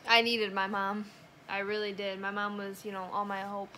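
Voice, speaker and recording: A woman's voice: medium pitch, completely flat delivery, moderate pace, noisy background. A young woman telling a personal story in a casual vlog chat. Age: young adult. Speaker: vlogger, new mother.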